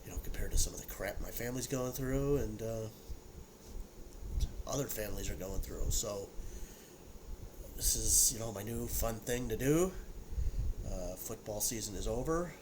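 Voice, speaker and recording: A man's voice: fairly low pitch, normal speed, pauses often, noisy background. A man in his sixties with a congested, sniffly voice, chatting loosely at home about whiskey with lots of fillers and repeated words. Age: 60s. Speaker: whiskey review vlogger. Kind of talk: casual solo tasting chat.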